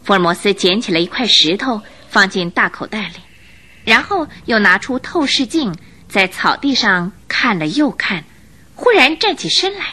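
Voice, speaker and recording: A woman's voice: mid-range voice, sing-song, normal speed, sharp tone, husky. A woman delivering dramatic, suspenseful scripted narration for a radio drama. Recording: radio drama with music underneath.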